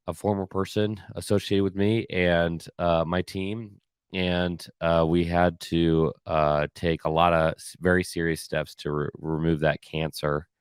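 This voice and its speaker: A man hosting a podcast alone, slightly hoarse and raspy, talking casually with many fillers and restarts. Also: sits low, no room sound, clean studio sound. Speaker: podcast host. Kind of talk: solo casual year-in-review talk.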